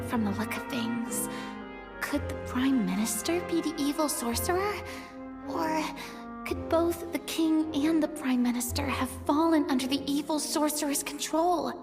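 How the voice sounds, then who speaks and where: A woman's voice: sits high, measured pace. A woman playing a watchful, inquisitive young female adventurer in a game, earnest, with long reverb. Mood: wary. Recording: long reverb under music. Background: background music.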